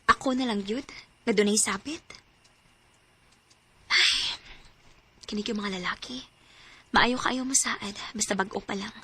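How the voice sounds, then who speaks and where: A woman's voice: mid-range voice, measured pace, pauses often, bright, forceful tone, slightly rough. A Cebuano Filipino woman acting a lonely, hopeful separated mother in an audio drama, both in dialogue and first-person narration. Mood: pensive, murmuring.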